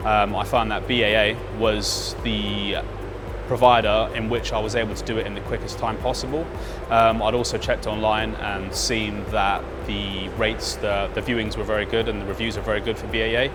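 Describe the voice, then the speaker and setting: A man in his thirties from the United Kingdom, a pilot trainee giving a measured interview testimonial with frequent ums. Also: fairly low pitch, level tone, music in the background. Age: 30s.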